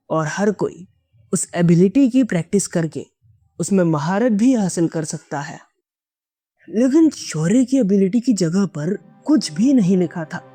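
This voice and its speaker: A man's voice: a little high, dark tone. A man narrating a scripted dramatic audio story as an audiobook narrator.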